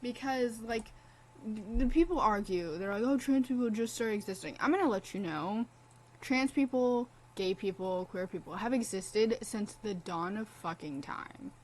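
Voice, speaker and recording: A woman's voice: fairly high pitch, normal speed, rough recording. A young woman streaming a game in casual commentary, blunt, profane and impassioned as she talks.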